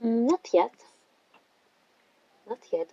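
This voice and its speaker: A woman with a French accent, a guest chatting casually on a podcast and speaking softly with hesitant phrasing. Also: fairly high pitch, slow pace, no room sound, lo-fi sound. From Cameroon. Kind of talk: casual chat.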